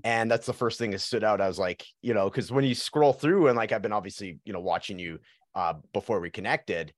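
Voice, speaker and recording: A man's voice: lowish voice, fairly quick, no room sound, studio quality. Chatty podcast host, a man. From Canada. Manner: friendly, rambling with fillers and restarts. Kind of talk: casual interview chat.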